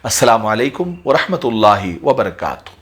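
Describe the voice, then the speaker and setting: A man giving an earnest, measured address to his viewers as a religious motivational speaker, in formal wording. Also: lowish voice, sing-song, fairly bright tone. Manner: measured, appealing, formal vocabulary.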